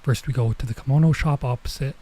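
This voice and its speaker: A man streaming a visual novel, reading it aloud with casual commentary and thinking out loud as he goes. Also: mid-range voice, fast. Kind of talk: let's play read-aloud with casual commentary.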